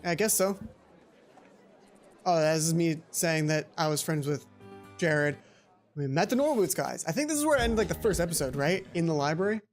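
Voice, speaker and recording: A woman with a fairly low voice hosts a gaming video. She talks casually and rambles, with self-deprecating asides and exclamations. Game music plays behind her. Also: lively intonation, moderate pace, pauses often, darkish tone. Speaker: let's play video host. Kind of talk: casual game commentary with read-aloud dialogue.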